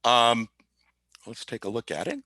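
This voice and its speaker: A man explaining software informally as an interview guest, methodical and somewhat halting in his delivery. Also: mid-range voice, very animated, dry recording. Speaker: podcast guest, IT specialist. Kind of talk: informal software demo talk.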